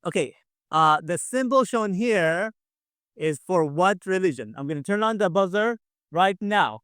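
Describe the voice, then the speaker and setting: A man with a fairly high voice hosts a casual online quiz. He is encouraging and tends to repeat himself. The sound is close and dry, on a video call mic. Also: expressive, unhurried, fairly bright tone, clean tone, no echo. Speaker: quiz show host. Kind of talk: casual game show hosting.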